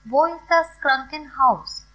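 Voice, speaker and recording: Slow, high-voiced woman reading phrases. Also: sharp tone, poor audio. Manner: slow, carefully clear, each phrase said twice. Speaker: language teacher. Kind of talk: reading phrases aloud for learners to repeat.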